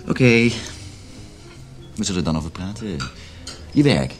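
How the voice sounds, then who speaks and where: A man's voice: low-pitched, expressive, a little slow, faint music behind. An actor playing a suave, sardonic married salesman who flirts and teases in a radio play. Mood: flirtatious. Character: flirtatious, cynical married salesman named John. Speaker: sales manager character.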